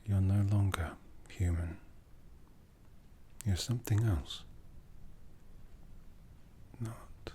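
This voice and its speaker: A man playing an aloof, commanding aristocratic vampire in an ASMR roleplay, half-whispering slowly and deliberately with a breathy, seductive delivery. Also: low-pitched, mellow, a little hiss or hum. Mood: intimate, menacing. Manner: slow, deliberate, measured.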